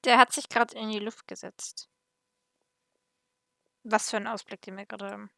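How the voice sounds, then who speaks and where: A woman's voice: medium pitch, steady tone, moderate pace, creaky, bright, forceful tone, no echo. A woman gaming streamer giving casual let's play commentary and reading dialogue choices aloud.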